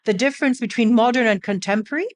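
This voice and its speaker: A woman hosting an interview podcast, putting questions conversationally in measured, halting phrases. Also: a little high.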